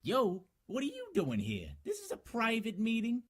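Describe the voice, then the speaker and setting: Casting: man to play a desperate, crooked manager for 1940s radio drama, lively pitch swings, husky mid-range voice. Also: moderate pace, heavily acted. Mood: nervous, defiant. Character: shifty, desperate, scheming talent manager, man.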